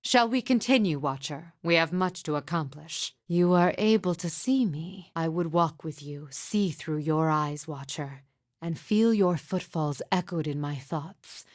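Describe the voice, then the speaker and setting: A woman voicing a sorrowful, haunted mystic midwife in a video game, speaking in solemn, hushed, deliberate and formal phrases. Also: sits low, lively intonation, no room sound, clean studio sound. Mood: solemn, gentle. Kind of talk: video game character dialogue, poetic and measured.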